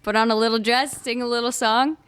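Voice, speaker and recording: A woman in her late twenties from Nashville, a singer-songwriter guest on a podcast, bubbly and upbeat and quick to laugh. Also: fairly high pitch, fairly even intonation, fairly bright tone, smooth, no room sound. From Nashville, United States. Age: 20s. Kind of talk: casual interview chat.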